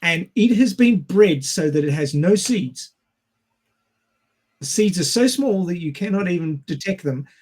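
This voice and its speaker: A man talks in a casual podcast discussion. His voice sits a little on the high side for a man. He lays out his points step by step, in a didactic way. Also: animated, measured pace, darkish tone. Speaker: podcast panellist.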